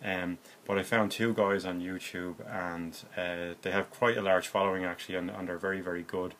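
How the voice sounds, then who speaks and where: Man, Irish accent, deep-pitched, hesitant, plain-spoken, casual how-to, phone recording indoors, slight background noise. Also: flat delivery, moderate pace, fairly bright tone. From Ireland. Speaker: hobbyist presenter. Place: indoors in a room at home.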